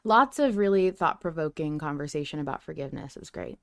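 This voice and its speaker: A woman's voice: low-pitched, expressive, fast talker, creaky, dry recording, studio quality. A woman co-hosting a podcast, chatting casually and informally, often dropping in "like" as she goes. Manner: informal, peppered with "like". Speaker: podcast co-host.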